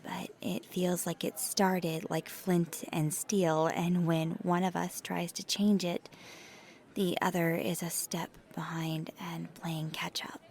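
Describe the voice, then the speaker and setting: A woman playing a nervous, earnest paranormal investigator in an ASMR audio roleplay, speaking softly and haltingly with thoughtful, breathy hesitations. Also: fairly low pitch, flat delivery. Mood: reflective, earnest.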